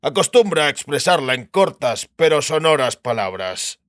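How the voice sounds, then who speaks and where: A man's voice: fairly high pitch, sing-song, moderate pace, fairly bright tone, no echo, pitched above the performer's own voice. Man playing a wry, guarded royal envoy. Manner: muttering, terse, dry irony.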